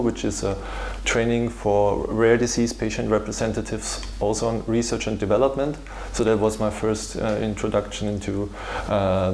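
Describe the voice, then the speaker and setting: A man with an Austrian accent and German as his first language, explaining his work in an interview in a halting, searching way. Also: lowish voice, gravelly, a little mellow, a little hiss or hum. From Vienna, Austria. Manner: hesitant, halting delivery with repeated words. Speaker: patient organization founder, interviewee. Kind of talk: interview answer, explanatory talk.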